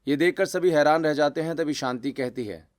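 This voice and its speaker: A man narrating a scripted family story for an animated video. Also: fairly high pitch, fairly quick, no echo, studio quality.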